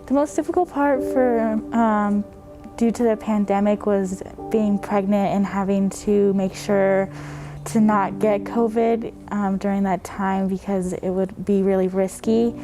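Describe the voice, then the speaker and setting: Young woman from california, flat even delivery, music bed behind. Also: mid-range voice, unhurried. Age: young adult. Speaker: student interviewee. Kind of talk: documentary interview.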